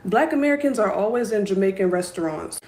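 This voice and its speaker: A woman with an average-pitched voice making a firm, declarative social media rant. Her voice rings with room echo. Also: lively intonation, fast, a little mellow.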